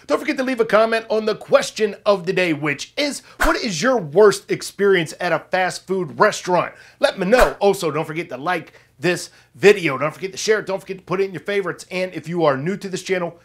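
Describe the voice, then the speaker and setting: Male online news host, high register, upbeat and conversational, punchy emphasis, wry asides. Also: animated, fairly quick, gravelly, few pauses, fairly bright tone. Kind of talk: casual, upbeat pop culture news commentary to viewers.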